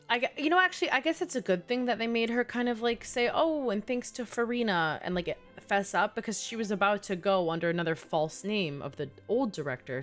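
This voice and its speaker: A woman's voice: medium pitch, a bit bright. A woman with a New York accent giving casual livestream game commentary, thinking aloud in loose, chatty phrasing. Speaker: streamer.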